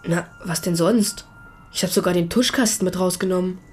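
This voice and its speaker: A woman's voice: on the low side, fairly quick, darkish tone, natural voice. Woman doing a schoolboy in a radio play, hesitant n a bit defensive, slight noise behind. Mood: reluctant, matter-of-fact. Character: schoolboy Tobias, hesitant, earnest, secretive witness. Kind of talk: naturalistic German radio drama dialogue.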